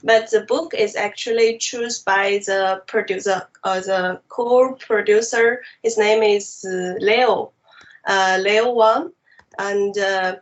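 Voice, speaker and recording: A woman's voice: mid-range voice, steady tone, slow, dull audio. A woman from China with a Mandarin accent, native Mandarin speaker, answering interview questions in careful English with many hesitations. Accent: Mandarin Chinese. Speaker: animation director guest.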